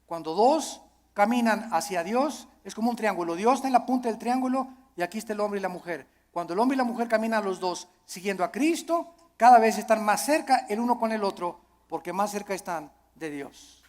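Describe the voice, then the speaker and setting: A man's voice: high-pitched, animated, fairly quick, a little mellow. A man with a Mexican accent delivering a sermon as a preacher, emphatic, with repeated phrases and rhetorical questions. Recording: long hall reverb.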